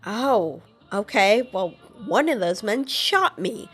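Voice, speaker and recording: A woman's voice: medium pitch, animated, slow pace, breathy edge, soft background music. Hesitant young woman asking questions. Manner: hesitant, with filler words. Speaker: player voicing her character. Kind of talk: casual game voice-acting.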